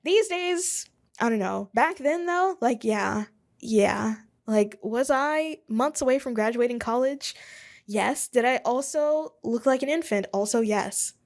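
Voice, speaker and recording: Woman, 20s, podcast host, casual solo chat, rambling, self-deprecating, chuckling asides, higher pitch. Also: animated, unhurried. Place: home office.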